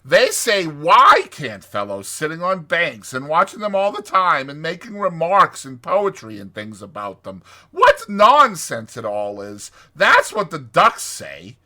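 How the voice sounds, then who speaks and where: A man's voice: on the high side, wildly swooping pitch, unhurried, hardly any pauses, sharp tone, voice pushed up, heavily acted. A man playing a good-natured, chatty water rat in a dramatized storybook reading, hearty and chummy. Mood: cheerful, indignant.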